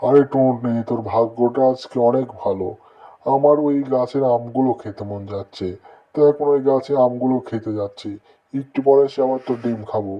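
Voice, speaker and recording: A man's voice: mid-range voice, fairly even intonation, brisk, highs cut off, close to an everyday voice. A man voicing a bullying, hungry witch in a cartoon, scolding and menacing. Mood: grudging threat. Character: bullying, hungry witch who preys on bird eggs. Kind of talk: cartoon dialogue.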